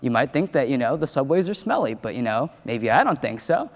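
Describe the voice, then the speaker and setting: A man on a stage talks in a relaxed, conversational way with playful asides and a lot of "um." His mid-range voice rings out with a long hall reverb. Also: mid-range voice, fairly quick. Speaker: panelist in politics. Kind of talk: panel discussion on stage.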